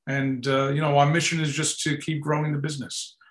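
A man in his sixties with a New York accent, a company founder chatting in an interview, relaxed and rambling with many fillers. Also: fairly high pitch, fairly quick. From New York City. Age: 60s. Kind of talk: casual interview chat. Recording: roomy echoey sound.

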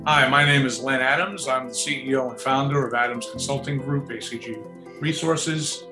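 A man in his sixties with a New York accent, a company founder chatting in an interview, relaxed and rambling with many fillers. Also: medium pitch, moderate pace, over music. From New York City. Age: 60s. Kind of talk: casual interview chat. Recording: roomy echoey sound.

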